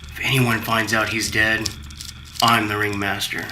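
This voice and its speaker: A man's voice: lowish voice, level tone, measured pace, background noise, natural voice. A man acting a scheming, bitter heir in a radio drama, brooding and muttering to himself. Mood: urgent. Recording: music behind the voice.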